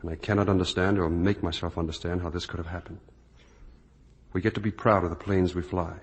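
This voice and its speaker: An actor playing an earnest, morally outraged young son in 1950s radio drama, with a husky voice and intense delivery. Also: male voice, low voice, steady tone, brisk. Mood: grave, aching. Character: earnest, morally outraged grown son confronting his parents.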